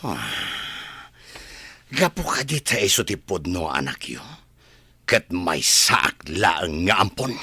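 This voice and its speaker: Bitter, insistent son for TV drama; Ilocano accent, forceful bright voice, close dry sound. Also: male voice, low-pitched, sing-song, slow, breathy edge, no room sound, heavily acted. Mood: bitter, demanding. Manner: confrontational, insistent. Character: resentful adopted adult son, demanding and bitter. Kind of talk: Ilocano television drama acting.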